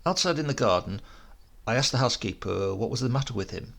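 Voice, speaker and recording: A man narrating a literary short story in a measured, descriptive manner. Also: mid-range voice, expressive, creaky, a bit bright. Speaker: audiobook narrator.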